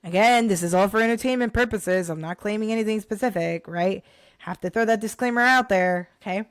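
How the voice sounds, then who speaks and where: A young woman vlogger, hoarse from recent illness, talking casually and animatedly to her viewers about books. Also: mid-range voice, a little fast, no echo, studio quality. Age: young adult. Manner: animated, addresses viewers as guys, many restarts. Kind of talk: casual direct-to-camera talk.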